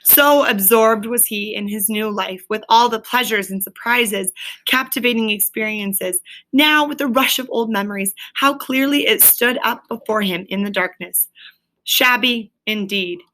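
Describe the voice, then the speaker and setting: A woman's voice: on the high side, unhurried, sharp tone, poor audio. A woman teacher reading a story aloud and explaining it to pupils, with an emphatic, questioning delivery.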